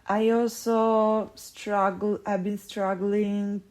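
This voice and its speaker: Slow, husky-voiced woman. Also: level tone, a little mellow. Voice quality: low, husky morning voice. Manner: halting, full of fillers and restarts, frank and swearing. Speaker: podcast host. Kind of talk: casual personal monologue.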